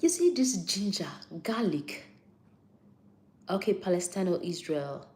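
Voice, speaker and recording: A woman's voice: fairly low pitch, big pitch swings, unhurried, darkish tone. A young Nigerian woman with a Nigerian accent giving a casual live-stream health talk, repeating points for emphasis and answering viewer comments.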